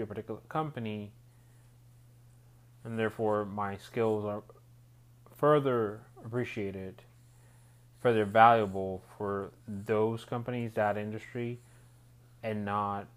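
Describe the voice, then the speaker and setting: Man, rambling, low-pitched. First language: Spanish. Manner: rambling, restarts phrases often. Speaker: podcast host. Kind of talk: solo reflective monologue.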